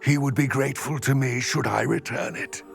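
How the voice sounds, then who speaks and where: A man voicing a solemn, measured male goblin ally in a video game, speaking in a formal, grave and deliberate way with a husky voice. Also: medium pitch, fairly even intonation, soft background music, lightly acted.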